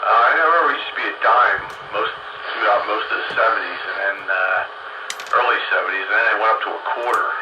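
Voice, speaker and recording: An older man chatting casually on the radio, recalling things in a rambling, halting way. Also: fairly low pitch. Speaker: radio show participant. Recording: noisy, muffled, roomy radio transmission.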